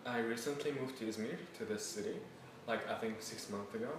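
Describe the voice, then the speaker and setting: A man's voice: medium pitch, a little fast. A young man in his early twenties from Syria, answering interview questions hesitantly with many fillers. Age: young adult. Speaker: interviewee, student. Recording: echoey room, distant mic, background noise.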